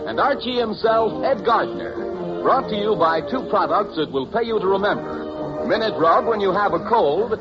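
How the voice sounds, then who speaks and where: High-pitched punchy vintage radio announcer, male. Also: lively intonation, normal speed. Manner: punchy, declamatory. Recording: dull vintage radio under loud music.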